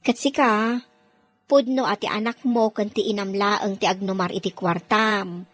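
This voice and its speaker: An actress playing a practical, cautionary overseas worker in a radio drama, admonishing a friend emphatically. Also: female voice, mid-range voice, fairly even intonation, measured pace, no room sound, faint music behind, lightly acted. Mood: firm advising. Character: practical, cautionary woman working abroad, advising her friend.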